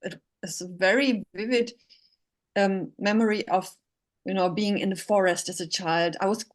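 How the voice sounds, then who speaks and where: A woman's voice: medium pitch, steady tone, normal speed. A woman raised in Germany after a childhood in Poland, speaking reflectively as a musician guest on a remote call. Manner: reflective, thoughtful. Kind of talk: casual interview.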